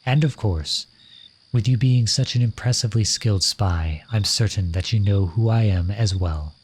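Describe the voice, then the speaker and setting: Man playing a low-voiced lovesick courtly royal, crickets behind. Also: moderate pace, a little mellow, slight background noise, natural voice. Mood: knowing, intimate. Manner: formal, courtly, flowery phrasing. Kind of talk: ASMR romantic roleplay, addressing the listener.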